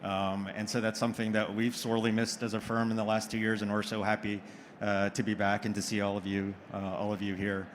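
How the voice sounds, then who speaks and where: Low-voiced man, venture investor. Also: level tone, slight background noise. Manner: measured, filler-heavy. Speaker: venture capital partner. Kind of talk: panel discussion.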